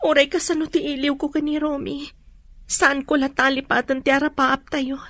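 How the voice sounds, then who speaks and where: A woman's voice: high-pitched, little pitch movement, normal speed, fairly bright tone, dry recording. A woman with an Ilocano accent playing a grieving, stubbornly hopeful young student daughter in a radio drama. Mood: sorrowful, insistent. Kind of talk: emotional radio drama acting.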